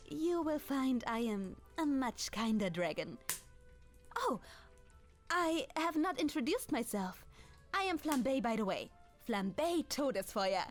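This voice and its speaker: A woman acts out a kind old dragoness baker for an ASMR fantasy roleplay, speaking in a high, lilting voice with a German accent. She is unhurried and politely apologetic, with formal wording and small hesitations, as if gently guiding a newcomer. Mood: sheepish, amused. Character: kind, clumsy, centuries-old dragoness baker with a hoard-free conscience. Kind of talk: ASMR roleplay, in-character monologue to the listener. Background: music.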